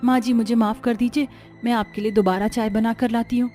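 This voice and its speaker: A meek young bride from an animated story, soft and pleading, as a woman voices her. Her voice sits high and light, with faint music playing behind. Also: brisk, close to an everyday voice. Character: meek, gentle young daughter-in-law.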